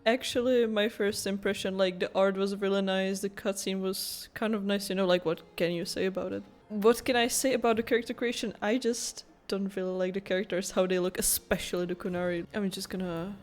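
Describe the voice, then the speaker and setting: A woman's voice: medium pitch, steady tone, brisk, few pauses, soft background music. A young woman doing informal, rambling playthrough commentary in a casual, giggly way. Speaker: gaming video creator.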